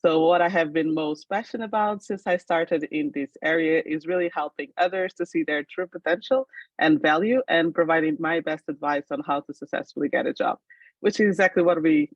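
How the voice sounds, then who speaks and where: A woman's voice: sits low, a little fast, dry recording, muffled recording. A woman from Portugal working as a recruiter, giving a friendly and upbeat webinar introduction over a video call. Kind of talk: webinar presentation.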